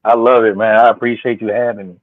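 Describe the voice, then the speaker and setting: A man's voice: lowish voice, little pitch movement, fast talker, no room sound. A man guest poet talking in a laid-back, grateful way while telling his story. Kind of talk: casual interview talk. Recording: phone-like, dry line.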